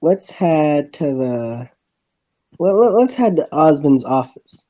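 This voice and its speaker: A man's voice: on the high side, sing-song, slow pace, dark tone, no echo, highs cut off. A man reading a visual novel aloud, often stumbling and restarting phrases.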